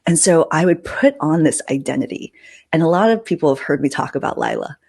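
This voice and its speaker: A woman's voice: low voice, lively intonation, brisk, vocal fry, darkish tone, breathy edge. Candid woman telling casual personal stories. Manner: candid, informal, swears freely. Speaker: podcast host.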